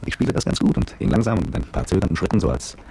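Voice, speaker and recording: Casting: brooding male narrator, former soldier, radio drama. Deep, gravelly, terse, reflective. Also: expressive, racing, dark tone, full character voice. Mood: wry, watchful. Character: brooding petrol-station attendant and former soldier, recalling events. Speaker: narrator and protagonist. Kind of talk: literary first-person radio drama narration.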